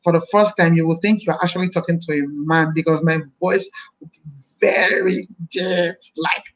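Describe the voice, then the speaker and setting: A woman in her thirties, a minister, chatting on a live stream. Her voice is deep this morning, and she talks in a friendly way, repeating phrases and blessing her viewers. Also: steady tone, normal speed.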